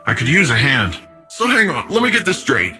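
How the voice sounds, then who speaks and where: A man's voice: mid-range voice, wildly swooping pitch, brisk, over music. An actor playing a big, cheerful, cocky young male city defender in a video game, with a breezy, slangy, eager and friendly manner. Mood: businesslike, then amused, excited.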